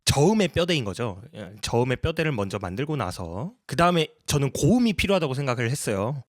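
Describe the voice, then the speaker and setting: A man's voice: mid-range voice, sing-song, a little fast, no echo. A man narrating a tutorial as a sound designer, explaining his process in a polite way.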